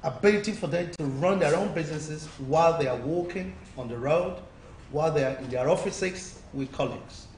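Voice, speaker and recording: A man's voice: on the high side, fairly quick, a little mellow. A man speaking as a business coach and mentor, giving earnest, persuasive interview answers and repeating phrases as he explains. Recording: echoey room, distant mic, faint noise.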